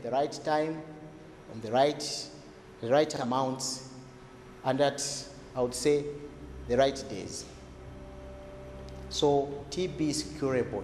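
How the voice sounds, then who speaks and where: A man speaking as a health expert, explaining in a deliberate, emphatic way that repeats key words. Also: mid-range voice, a little mellow. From Zambia. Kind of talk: explanatory interview talk. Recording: echoey, reverberant room with background noise.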